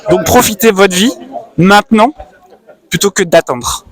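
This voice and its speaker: A man who illustrates books, chatting at a convention stand in a thoughtful way with frequent pauses and fillers. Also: high voice, crowd noise. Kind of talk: casual interview chat. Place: busy convention hall.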